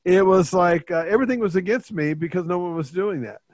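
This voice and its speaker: An older man with a slightly raised pitch speaks emphatically, telling his own story and handing out advice. His voice is close and dry, with no room sound. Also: a little fast, a little mellow, no echo, lo-fi sound. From Portuguese family, dairy upbringing. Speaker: host, bodybuilding coach. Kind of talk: casual interview chat.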